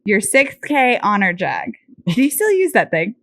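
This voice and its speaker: A woman's voice: mid-range voice, sing-song, moderate pace, a bit bright. A woman from Texas with an American accent, co-hosting a casual podcast interview in an enthusiastic, agreeable way. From Texas, United States. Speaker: podcast co-host.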